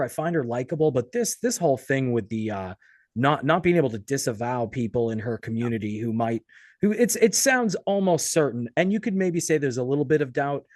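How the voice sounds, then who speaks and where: A man's voice: medium pitch, darkish tone, no echo, clean studio sound. A man from Boston hosting a casual interview in an informal, sometimes profane way. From Boston, United States. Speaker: interview host.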